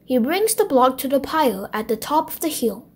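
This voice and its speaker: A woman's voice: high voice, very animated, moderate pace, slight background noise. A woman narrating a children's picture book as a scripted bedtime read-aloud.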